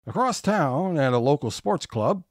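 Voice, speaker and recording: A man's voice: a little high, sing-song, moderate pace, soft background music. A man reading scripted narration for an audio drama, announcing titles and scenes.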